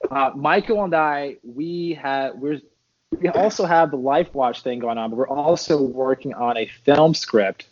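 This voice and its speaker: A man being interviewed as a podcast guest, chatting casually in a loose, bantering, halting way. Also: mid-range voice, muffled recording.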